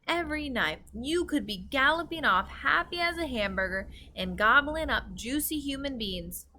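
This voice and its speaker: A woman voicing a brutish, scornful male giant in a storybook, shouting in garbled comic grammar. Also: high-pitched, lively intonation, moderate pace, slight background noise, voice pushed up. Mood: furious, disgusted.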